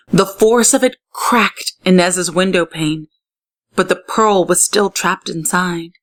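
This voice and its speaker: A woman reading a story aloud expressively, with deliberate dramatic pauses. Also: fairly low pitch.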